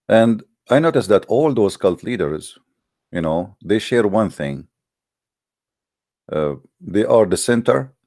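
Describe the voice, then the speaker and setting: A man's voice: low-pitched, a little mellow, dry recording, clean studio sound. A man, an English speaker, hosting a live call-in debate show in a hesitant but challenging way, with many fillers. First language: Arabic.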